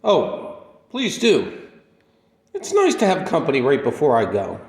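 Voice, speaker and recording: An actor playing a gentle, humble, lonely drifter in a radio play, speaking with polite, mild sincerity. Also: male voice, fairly high pitch, wildly swooping pitch, unhurried, full character voice. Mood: content, friendly. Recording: echoey, reverberant room.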